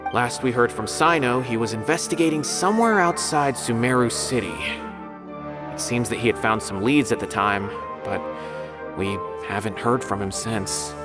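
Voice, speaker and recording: A polite young scholar, voiced by a man speaks earnestly and a little tentatively, explaining a problem. His pitch sits in an ordinary middle range. Clear game music plays beneath him. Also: natural voice. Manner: polite, earnest, slightly hesitant. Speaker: scholar asking for help. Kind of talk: video game voice acting. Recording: game audio with music behind.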